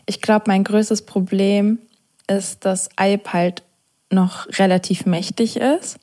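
A woman's voice: mid-range voice, fairly even intonation, slow pace, dark tone. A young woman in a casual discussion, explaining her view in a reasoned, conversational way.

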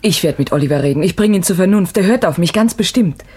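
Distraught and insistent, pleading, husky, pitch leaping all over, old radio drama. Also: female voice, animated, fast, warm tone. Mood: pleading, determined. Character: distraught pleading woman, determined to help a fugitive. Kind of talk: German radio crime drama acting.

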